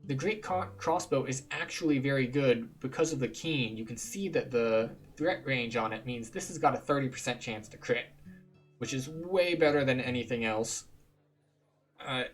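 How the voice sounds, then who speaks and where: Male gamer, mid-pitched, casual explanatory walkthrough, music behind. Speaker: gamer, tour guide. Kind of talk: casual game walkthrough commentary.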